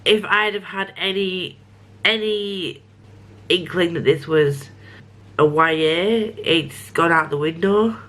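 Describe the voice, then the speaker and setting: A woman's voice: on the low side, slow, fairly bright tone. A young woman rambling casually about a book she's reading, a bit sniffly, often restarting her sentences. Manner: chatty, self-interrupting, frequent restarts. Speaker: book vlogger. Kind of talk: rambling casual vlog chat.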